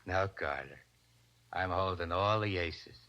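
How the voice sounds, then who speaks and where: A man's voice: sits low, measured pace, sharp tone, muffled recording. An actor playing a brazen, threatening gangster with slangy tough-guy talk in a 1940s radio crime drama. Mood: menacing, cocky. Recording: old radio drama broadcast.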